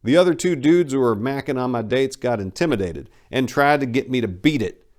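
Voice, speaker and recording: A man's voice: mid-range voice, lively intonation, brisk, a little mellow. A man narrating a first-person memoir as a dramatic reading, in a brash, wry, colloquial storytelling manner.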